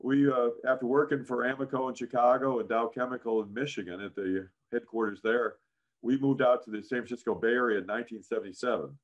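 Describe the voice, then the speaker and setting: A man in his sixties with a deep voice, a guest in a casual interview, telling career stories with many "frankly"s and "uh"s. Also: quick pace, lo-fi sound. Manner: frequent "frankly" and "uh", animated anecdotes. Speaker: interview guest, business founder.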